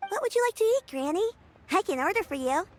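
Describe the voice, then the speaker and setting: A woman's voice: extremely high pitch, very animated, fast. A woman voicing a caring, cheerful young girl who looks after her grandmother in an English video game dub, polite and earnest. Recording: game audio with music underneath.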